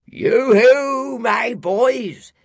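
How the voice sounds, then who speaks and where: Fezziwig, a hollering jolly old merchant. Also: male voice, very high voice, drawn-out words, vocal fry, dry recording, studio quality, voice pushed up, heavily acted. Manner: hearty, calling out loudly. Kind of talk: audiobook reading.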